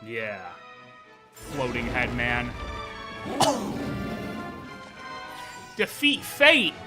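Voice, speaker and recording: A man's voice: mid-range voice, huge pitch swings, very slow. A man giving casual, playful live game commentary, breaking into exclamations and talking to the characters. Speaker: livestreamer. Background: game music behind the voice.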